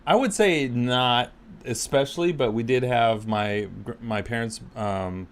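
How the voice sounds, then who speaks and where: A man's voice: mid-range voice, a little slow, clear, resonant tone. An American man co-hosting a podcast in casual conversation, agreeable and informal, responding readily to his partner. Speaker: podcast co-host.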